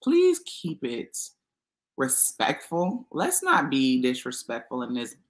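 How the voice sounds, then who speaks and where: A woman hosting a casual livestream, chatty and expressive, talking to her viewers as y'all. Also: very deep voice, slow. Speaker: YouTube livestream host.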